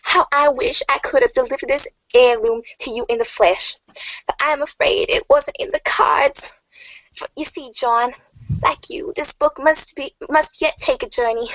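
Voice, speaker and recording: Casting: a woman as an affectionate elderly grandma, declamatory and earnest, fairly high voice, close dry recording. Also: no room sound, muffled recording, lightly acted. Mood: tender, wistful. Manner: formal, declamatory letter reading. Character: doting, earnest old grandmother reading her farewell letter. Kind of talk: dramatic reading of a letter.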